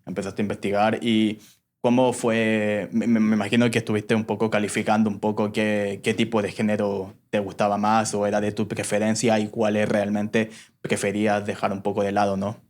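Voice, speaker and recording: Guy hosting a podcast, low flat voice, lots of um and restarts. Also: a little fast. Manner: hesitant, many restarts and fillers. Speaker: podcast host. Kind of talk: casual interview hosting.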